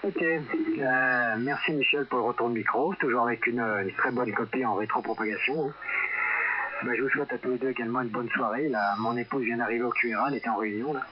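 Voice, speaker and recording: A man's voice: mid-range voice, fast. A man from France chatting casually over a radio transmission as an amateur radio operator. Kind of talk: casual radio contact chat. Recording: very noisy, muffled radio transmission.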